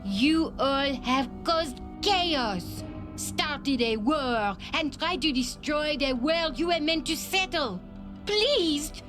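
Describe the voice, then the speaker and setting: Measured leader with French accent. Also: female voice, high voice, lively intonation, music in the background. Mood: stern. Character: stern, composed female republican leader. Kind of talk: scripted video game cutscene acting.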